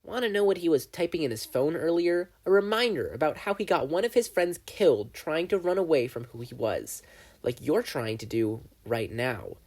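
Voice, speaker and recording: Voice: medium pitch, very animated, normal speed, a little mellow, breathy edge, voice pushed up. A reader voicing a gleeful, menacing male triangle dream demon who taunts and mocks, in a fan fiction audiobook. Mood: taunting. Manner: taunting, theatrical, mocking.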